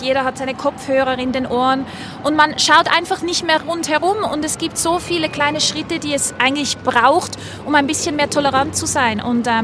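A woman giving earnest, spontaneous answers in a casual interview recorded outdoors on a street. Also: high-pitched. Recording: outdoor street noise, faint traffic. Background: faint urban ambience and vehicles.

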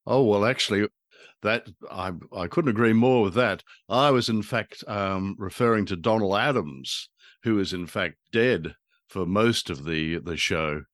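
A man with a lowish voice, recorded close and dry. He talks as a humorous commentator, wry and wordy, often pausing to find words. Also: fairly bright tone, no echo. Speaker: podcast commentator. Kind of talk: humorous discussion of a radio drama.

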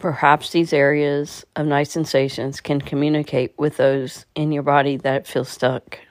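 Woman guiding meditation, very even pitch. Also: measured pace, no room sound, studio quality. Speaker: meditation guide. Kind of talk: guided meditation narration.

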